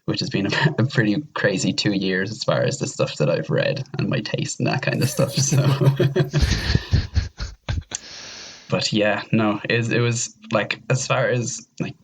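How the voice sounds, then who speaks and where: A young man with an Irish accent and a deep, low voice. He talks informally as a guest in a conversation, circling back and repeating words as he builds his point. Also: measured pace, husky, poor audio. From Ireland. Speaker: podcast guest. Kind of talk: casual interview chat.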